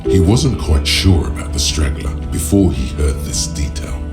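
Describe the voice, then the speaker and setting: A man voicing the grave inner voice of a weary detective in a video game, measured and brooding. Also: a bass voice, animated, over loud music. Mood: knowing.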